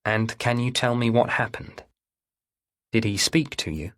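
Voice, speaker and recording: A man voicing a calm female police detective who questions gently and persuasively in an audiobook. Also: medium pitch, measured pace, natural voice. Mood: soft questioning. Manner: soothing, probing.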